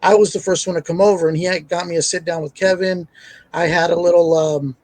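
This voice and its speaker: Male wrestler guest, slightly high voice, careful halting delivery. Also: steady tone, a little mellow, no room sound. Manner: measured, hesitant, many fillers. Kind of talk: casual interview chat.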